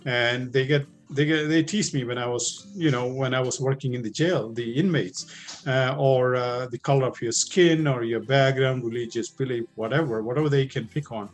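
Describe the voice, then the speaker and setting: A man's voice: medium pitch, normal speed, soft background music. A middle-aged man with a thick Indian accent, a police officer answering recruits' questions in a loose, conversational way full of fillers and restarts. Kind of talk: informal Q&A talk.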